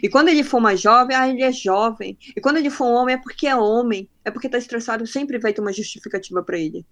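A woman's voice: fairly high pitch, fast, a bit bright. A woman from northern Brazil with a faint northern Brazilian accent, a guest on a podcast who tells her stories in casual talk and acts out the people she quotes. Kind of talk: casual interview chat.

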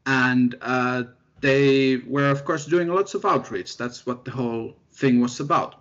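A young man from Finland speaks in an easygoing, self-deprecating way, pausing often with fillers. His voice sits at a middle pitch. The recording sounds somewhat dull and lo-fi. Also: fairly bright tone. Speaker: guest researcher. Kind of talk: casual interview chat.

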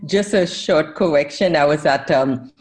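A woman's voice: low-pitched, measured pace, a little mellow. A woman in her forties, born in Haiti, speaking as a professor guest on a casual podcast, who laughs often and corrects herself as she goes.

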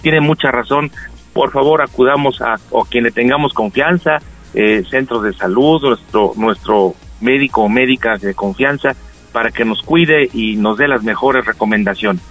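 Calm doctor explaining health. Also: male voice, mid-range voice, normal speed, faint music behind, rough recording. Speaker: doctor giving health advice. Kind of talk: radio health segment guest.

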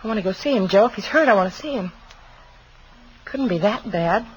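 A woman playing an American policeman's wife in a 1950s radio drama, expressive and plain-spoken. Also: mid-range voice, fairly quick, close to an everyday voice, poor audio. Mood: anxious. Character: policeman's wife, friendly hostess turning anxious. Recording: old radio broadcast, some noise.

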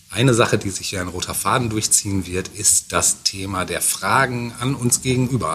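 A man's voice: on the low side, breathy edge. A man in his forties hosting a casual podcast, rambling and hesitant with many fillers. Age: 40s. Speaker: podcast host. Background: faint music.

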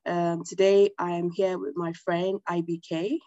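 A woman's voice: fairly low pitch, little pitch movement, unhurried, highs cut off, background noise. A woman hosting a casual podcast, friendly and giggly as she asks her guest questions. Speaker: podcast host.

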